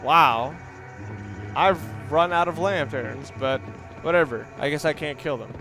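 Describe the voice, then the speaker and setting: A man with a somewhat high voice talks through a video game as a let's-play commentator. His delivery is casual and offhand, wandering a little as he explains things. Game music plays clearly behind his voice. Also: measured pace, bright, forceful tone, rough recording.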